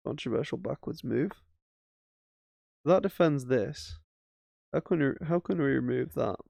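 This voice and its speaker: A man's voice: medium pitch, animated, unhurried, gravelly, darkish tone, no echo. A man casually commentating his own chess games as he plays, thinking aloud with self-mocking asides. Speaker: chess streamer.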